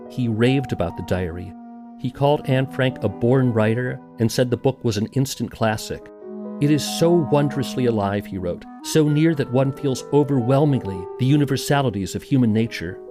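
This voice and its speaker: A man's voice: medium pitch, normal speed. A man hosting a podcast, reading scripted documentary narration. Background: music.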